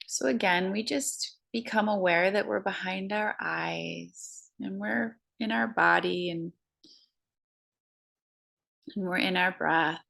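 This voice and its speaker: A woman guiding a breathing meditation, counting slowly in a soft, soothing way. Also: medium pitch, creaky. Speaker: meditation guide.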